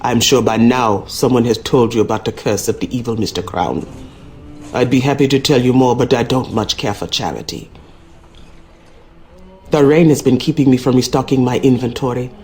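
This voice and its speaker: A man's voice: medium pitch, darkish tone, slight background noise, lightly acted. Man playing a mysterious Southern voodoo shopkeeper. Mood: ominous. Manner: folksy, ominous, unhurried. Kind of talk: video game character acting.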